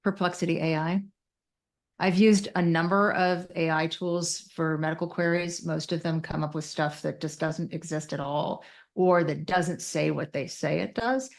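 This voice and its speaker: A woman's voice: low-pitched, fairly even intonation, moderate pace, gravelly. A woman speaking as a clinical guest in casual expert conversation, frank and opinionated, thinking aloud. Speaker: podcast guest, psychiatric clinician.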